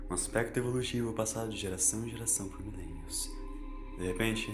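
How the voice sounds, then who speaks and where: A man's voice: mid-range voice, echoey room. A man with a Brazilian accent reading scripted fiction as a dramatic narrator.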